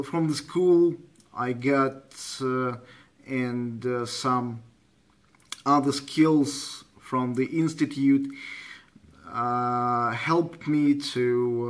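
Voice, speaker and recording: A man's voice: mid-range voice, steady tone, extremely slow. A man with a Russian accent chatting as a ham radio operator, hesitant and searching for words. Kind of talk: casual radio contact chat. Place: indoors at a computer running radio software.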